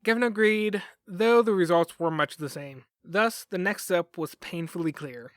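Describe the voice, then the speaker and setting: A man's voice: sits high, normal speed, a bit bright, no echo, studio quality. A man hosting a scripted documentary podcast, narrating with wry asides.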